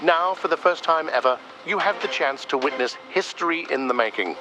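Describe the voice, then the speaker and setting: Man doing a high-ish grandiose radio ad announcer. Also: animated, a little fast, background noise, close to an everyday voice. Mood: grand, enticing. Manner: portentous, promotional. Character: grandiose announcer pitching an epic deep-sea expedition broadcast. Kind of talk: dramatic radio advertisement.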